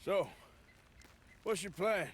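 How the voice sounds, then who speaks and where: An actor playing a rugged, dry, laconic American outlaw cowboy in a western game. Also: male voice, high-pitched, slow. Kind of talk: western video game voice acting. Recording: clear background noise, horse hoof clatter.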